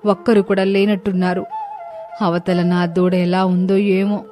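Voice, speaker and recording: A woman's voice: fairly low pitch, flat delivery, measured pace, dark tone, faint music behind, natural voice. A woman voicing a distressed, loving mother cow in a storybook tale, lamenting and pleading. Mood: anguished, helpless.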